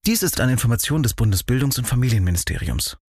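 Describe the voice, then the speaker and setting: A man's voice: mid-range voice, big pitch swings, quick pace, loud music behind the voice. A man reading a scripted public information spot as a radio voice-over. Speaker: advert voice-over.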